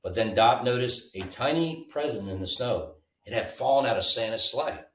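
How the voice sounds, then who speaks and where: A man reading a children's bedtime story aloud in an animated, informal way, like a storyteller with a picture book. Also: medium pitch, moderate pace. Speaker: storybook reader. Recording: roomy, mic at a distance.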